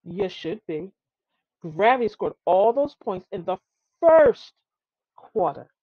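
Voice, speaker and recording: A woman's voice: medium pitch, big pitch swings, takes it slowly, husky, no echo, phone-quality sound. A woman reading a children's tall tale aloud, expressively and with some stumbles over the words. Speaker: storybook reader.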